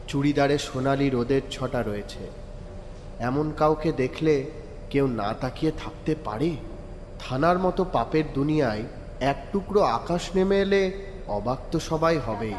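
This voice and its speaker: A man narrating a crime audio story in a measured, descriptive storytelling style. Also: medium pitch, slight background noise. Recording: reverberant room with background music. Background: soft background music.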